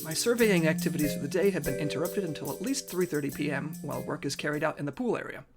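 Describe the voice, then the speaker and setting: A woman's voice: low voice, lively intonation, fast, over music. A woman playing an intense undercover agent in an audio comedy drama, urgent and hard on herself. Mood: businesslike. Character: intense female secret agent working undercover, urgent and self-blaming.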